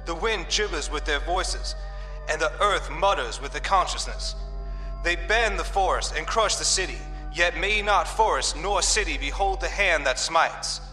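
Guy doing a creepy old tv preacher, slow grand doom sermon, sharp voice with big long reverb. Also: a little high. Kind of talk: droning apocalyptic sermon in radio play. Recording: long reverb, music underneath.

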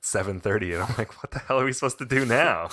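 A man's voice: mid-range voice, expressive, moderate pace, a bit bright. A man hosting a hockey podcast, chatting casually with a guest and laughing often as he tells stories. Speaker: podcast host. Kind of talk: casual chat.

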